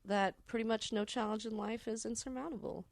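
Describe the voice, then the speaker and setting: A woman's voice: fairly high pitch, steady tone, brisk, creaky, no echo. A woman co-hosting a podcast in casual, rambling chat, with a wry, self-deprecating manner. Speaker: podcast co-host.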